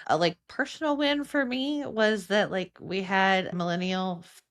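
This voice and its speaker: A young woman with an American accent talks as a podcast co-host, her voice sitting at a middle pitch. She is informal and chatty, dropping in "like" and "you know" as she goes. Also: unhurried. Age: young adult. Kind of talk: casual podcast chat.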